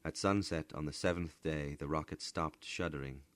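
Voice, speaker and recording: A man narrating a literary story dramatically, with deliberate, theatrical delivery that sometimes drops to a hush. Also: very deep voice. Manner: theatrical, deliberate, hushed at times. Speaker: storyteller, voice actor.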